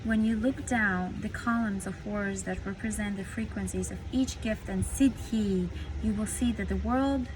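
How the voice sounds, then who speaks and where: A woman's voice: mid-range voice, steady tone, normal speed, hardly any pauses, background noise. A woman from Latvia whose first language is Latvian, reading a spiritual book aloud and breaking off into rambling, emphatic commentary. She is sitting in a parked car at night, and vehicles pass by outside. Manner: dreamy, emphatic, rambling commentary between read passages.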